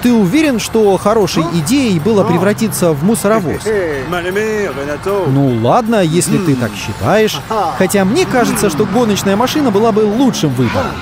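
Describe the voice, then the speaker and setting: Very animated cartoon narrator over loud music. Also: medium pitch, moderate pace. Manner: expressive, exclamatory, urging. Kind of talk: animated children's cartoon narration, talking to the characters. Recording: music behind the voice.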